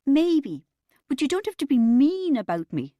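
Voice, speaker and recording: A woman's voice: sits high, expressive, brisk, darkish tone, no room sound, clean studio sound, pitched above the performer's own voice. A woman voicing a sulky, indignant young female patient in an audiobook, with a rather childish manner. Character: self-pitying, sulky young woman in treatment. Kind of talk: audiobook character dialogue.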